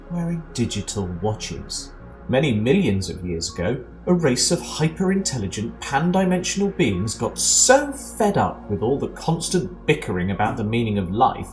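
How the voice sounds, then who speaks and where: Wry comic sci-fi narrator for amateur audio drama, male actor. Medium pitch, deadpan wit, varied intonation, music underneath. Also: low-quality recording. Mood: wry, explanatory. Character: wry storybook narrator of comic science fiction. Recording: clear music behind narration.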